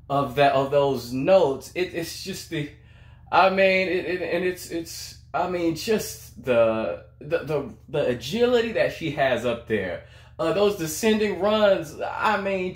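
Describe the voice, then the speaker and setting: Young adult male reaction host, higher-pitched voice, effusive and repetitive, casual delivery, recorded in an echoey room at home. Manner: effusive, emphatic, repeats words and phrases. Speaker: reaction video host, singer. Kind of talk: casual music reaction commentary. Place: small room at home, indoors.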